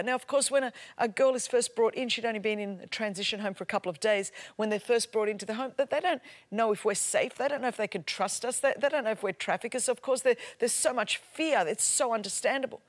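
A woman's voice: a little high, fairly quick. A woman, a native Greek speaker, preaching and telling a story with mounting passion and emphatic repetition. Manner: impassioned storytelling, builds to loud emphatic repetition. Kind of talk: sermon.

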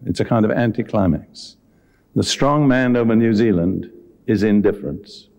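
A man preaching a sermon to a congregation, speaking earnestly and deliberately and addressing his listeners directly. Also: lowish voice, darkish tone. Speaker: visiting preacher. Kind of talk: sermon with Bible teaching and altar call. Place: live before a congregation at a meeting.

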